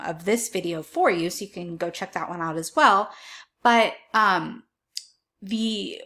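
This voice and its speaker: Female online seller for an informal tips video: crisp bright tone, a touch lower-pitched, measured and emphatic explanatory delivery.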